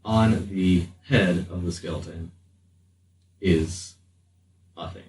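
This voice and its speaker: A man speaking as game master, improvising narration for a tabletop roleplaying game with halting pauses mid-sentence. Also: low voice, a little mellow. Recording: roomy, distant mic with faint noise.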